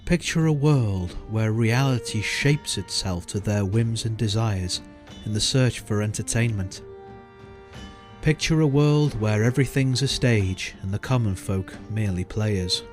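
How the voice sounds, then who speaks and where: Man, trailer narrator, lowish voice, theatrical, measured, scripted, faint guitar music behind.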